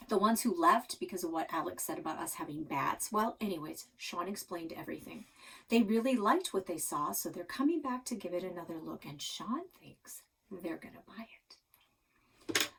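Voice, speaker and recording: A woman's voice: fairly low pitch, animated, moderate pace, creaky, a bit bright, husky, heavily acted. A woman voicing a cautious, practical, hesitant mother in a children's storybook. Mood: explaining.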